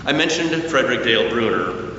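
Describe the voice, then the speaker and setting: A man preaching a sermon at a slow, deliberate pace, his voice rising and falling with emphasis. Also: medium pitch, a little hiss or hum. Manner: slow, deliberate preaching with lively rises and falls. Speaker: pastor. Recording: echoey church hall, distant mic, dull sound.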